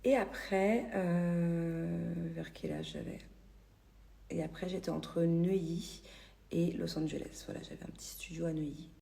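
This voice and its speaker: A woman's voice: on the low side, fairly even intonation, measured pace, gravelly, mellow. A woman from the Paris region casually telling stories to her followers on a phone, with many fillers and restarts. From Paris region, France. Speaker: vlogger.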